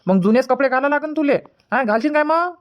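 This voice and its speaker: A woman acts out a doting village dad in a cartoon comedy. The voice is high for the part and very quick, briskly coaxing in Vidarbha Marathi. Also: lo-fi sound, natural voice. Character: brisk, doting village father of small children.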